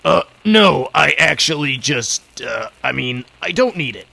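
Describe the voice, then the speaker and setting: An actor putting on the gravelly voice of a police detective on a telephone call in an old time radio drama, official but halting. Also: male voice, fairly high pitch, very animated, bright, forceful tone, dry recording, voice pushed up, full character voice. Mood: flustered. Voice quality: gravelly, forced.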